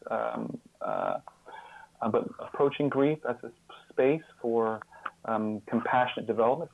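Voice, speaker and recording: A man answering as an interview guest in an earnest, conversational way, halting often and restarting as he searches for words. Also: mid-range voice, vocal fry, narrow, tinny audio. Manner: halting, hesitant, searching for words with repeated false starts. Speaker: podcast guest, author and caregiving mentor.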